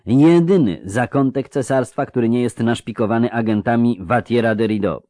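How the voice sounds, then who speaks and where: A man's voice: mid-range voice, warm tone, dry recording, voice pushed up, lightly acted. A man voicing a composed, conciliatory conspirator with a congested, head-cold voice, in an audiobook. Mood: assured. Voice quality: congested, stuffed nose. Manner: measured, diplomatic.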